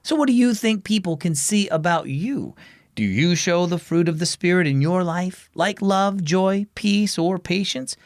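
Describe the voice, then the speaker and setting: A man speaking as host and narrator of a children's devotional program, reading scripted material in an animated, expressive way. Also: high voice, measured pace, dry recording, clean studio sound.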